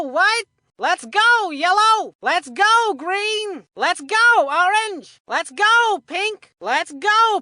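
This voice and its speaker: A woman's voice: very high-pitched, sharp tone, dry recording, studio quality, full character voice. A woman voicing a folksy, confident boy baseball player in a cartoon video game, chatty and full of old-fashioned exclamations. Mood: excited. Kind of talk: cartoon video game voicelines, sports banter.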